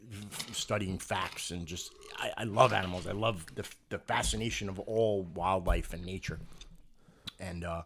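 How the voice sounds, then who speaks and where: Casting: New York male co-host, relaxed chatty delivery, easy laughs. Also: sits low. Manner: joking, swears freely, laughs often. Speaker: podcast co-host. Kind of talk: casual banter.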